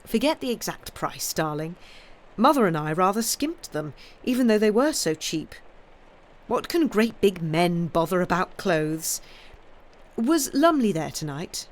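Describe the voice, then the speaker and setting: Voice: medium pitch, sing-song, unhurried. Reader doing a breezy young wife, coaxing, sidestepping. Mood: airy. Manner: light, airy, coaxing. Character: evasive young wife, airy and guarded.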